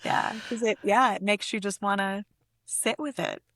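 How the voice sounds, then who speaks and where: A woman's voice: mid-range voice, fairly even intonation, normal speed, fairly bright tone, no echo. A woman with a mid-range voice speaks as a poet on a literary program. She is recorded dry, with hardly any room around her. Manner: effusive, conversational. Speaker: guest poet and editor. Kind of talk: casual literary conversation with poem reading.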